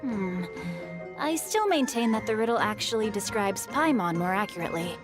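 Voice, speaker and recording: A woman voicing a polite, bookish, gently sly young boy poet in a video game, with eloquent, formal, measured speech. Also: mid-range voice, lively intonation, natural voice. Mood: thoughtful. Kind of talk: video game character acting. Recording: music behind.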